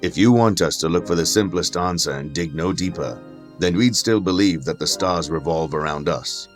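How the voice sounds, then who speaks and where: An actor playing a haughty, learned skeptic in an audio drama, formal, condescending and given to lecturing. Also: male voice, low-pitched, moderate pace, soft background music, close to an everyday voice. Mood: earnest, argumentative. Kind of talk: dramatic audio fiction acting.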